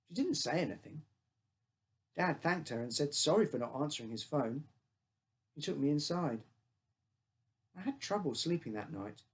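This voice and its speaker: A man with a British accent reading his own scripted short story, narrating in the first person as a child. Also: a little high.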